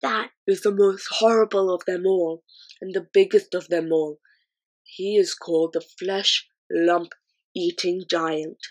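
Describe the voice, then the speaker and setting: A woman doing a gentle big friendly giant. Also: fairly low pitch, fairly even intonation, a little slow, poor audio. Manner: mangled grammar, odd made-up words.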